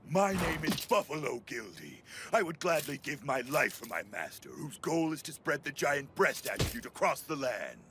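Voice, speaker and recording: Haughty theatrical monster villain. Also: male voice, fairly high pitch, sing-song, normal speed, few pauses, music in the background. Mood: menacing, devoted. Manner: pompous, theatrical. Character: haughty, solemn male monster warrior villain. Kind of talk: anime English dub acting.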